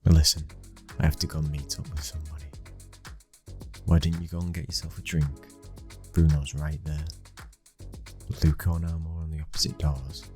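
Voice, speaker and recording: A very deep British voice from a man acting as a young mafia boss's son, controlling yet tender. He speaks in an intimate, commanding murmur, in the style of an ASMR boyfriend roleplay. Faint music plays behind him. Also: flat delivery, normal speed, pauses often, darkish tone. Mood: hurried, firm.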